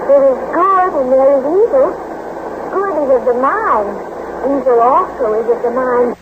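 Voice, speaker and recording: A woman's voice: high-pitched, expressive, moderate pace. An actress playing a mystical teenage girl who talks of past lives, in formal, serene 1940s radio acting, heard on an old recording. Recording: tinny old radio recording with heavy hiss.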